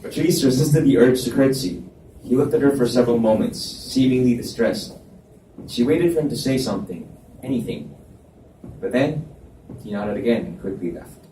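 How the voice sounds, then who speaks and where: A man's voice: medium pitch, lively intonation, normal speed, warm tone. A man reading scripted romance fiction aloud as the narrator at a live book reading. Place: library event space, indoors. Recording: echoey room, distant mic, faint noise.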